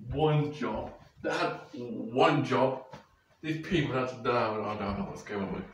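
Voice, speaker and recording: A man's voice: mid-range voice, unhurried, slight background noise. Rambling young man in an echoey bedroom. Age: young adult. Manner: rambling, restarts and self-corrections. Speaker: YouTuber reacting to photos. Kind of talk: rambling casual vlog commentary. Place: small bedroom indoors. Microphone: webcam or phone camera at close range.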